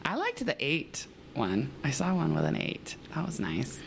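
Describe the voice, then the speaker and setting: A woman co-hosting a podcast in casual chat, her voice hoarse, chatty and quick to laugh, often trailing off mid-sentence. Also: low-pitched, wildly swooping pitch, slight background noise. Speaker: podcast co-host.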